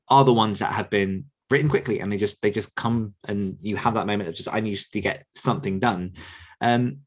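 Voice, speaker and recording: A man hosting a podcast, talking casually as he leads a group interview. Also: lowish voice. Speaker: podcast host.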